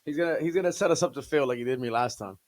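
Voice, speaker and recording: A man co-hosting a comedy podcast, complaining excitably in slangy, casual banter. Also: medium pitch, rapid-fire, no room sound. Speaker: podcast co-host.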